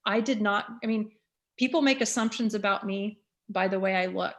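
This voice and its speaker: A woman in her fifties, a school superintendent, speaking candidly and earnestly at a community meeting. She often pauses on "um" fillers. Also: mid-range voice, fairly bright tone. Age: 50s.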